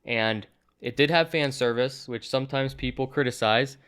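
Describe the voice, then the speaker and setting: Clear-voiced young man hosting a casual review. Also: medium pitch, moderate pace, a bit bright. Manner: enthusiastic, chatty, agreeable. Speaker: host of a movie review show. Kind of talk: casual conversational movie review.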